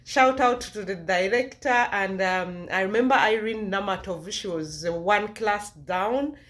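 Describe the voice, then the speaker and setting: A woman with a Ugandan accent speaks directly to her viewers as a vlogger. She tells a personal testimony at an average pitch, leaning on key phrases and repeating them for emphasis. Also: a little slow, a bit bright.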